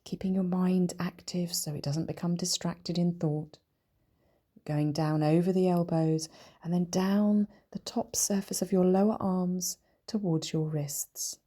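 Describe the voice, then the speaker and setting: A woman guides a meditation in a low, mellow voice. Her tone is soft and breathy, close to a whisper at times. She speaks gently and soothingly, leaving unhurried pauses between phrases. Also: steady tone. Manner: gentle, soothing, slow and deliberate with long pauses. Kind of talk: guided meditation, body scan.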